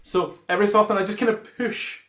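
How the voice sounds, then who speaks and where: A man's voice: sits high, steady tone, quick pace, a bit bright. A man with a Scottish accent, a band musician chatting casually in an interview and telling jokey anecdotes. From Scotland. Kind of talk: casual chat. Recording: roomy, echoey, muffled sound.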